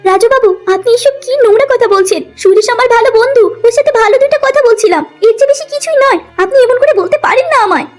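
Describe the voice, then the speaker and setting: Woman voicing a gentle young bride, extremely high voice. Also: animated, fast talker, few pauses, soft background music. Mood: hurt, protesting. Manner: earnest, emotional. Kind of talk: cartoon moral story acting.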